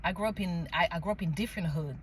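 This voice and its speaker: A woman's voice: lowish voice, fast talker. French-accented woman talking over background noise. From France and Mali. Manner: emphatic, often checks in with "okay?" and "right?". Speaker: spiritual vlogger sharing personal story. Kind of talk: casual unscripted personal storytelling.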